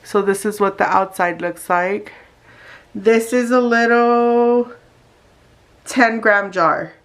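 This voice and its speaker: Casting note: woman vlogger, stuffy nasal voice, slow unhurried chat. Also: mid-range voice, a bit bright. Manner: chatty, talks straight to viewers. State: congested and nasal from allergies. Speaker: beauty vlogger. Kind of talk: casual unboxing vlog.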